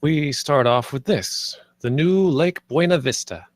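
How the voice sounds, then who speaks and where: A man's voice: on the high side, measured pace, no echo, close to an everyday voice. A man playing an earnest, idealistic theme park planner in a screenplay table read, a little hesitant. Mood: proud, eager. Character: earnest, idealistic male theme park planner named Marty, nervous, visionary.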